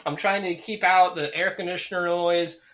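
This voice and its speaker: A man's voice: high-pitched, fairly even intonation, moderate pace, fairly bright tone. A congested man co-hosting a podcast in casual banter, rambling and joking with lots of restarts from a small hot room at home. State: stuffed up, congested. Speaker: podcast co-host.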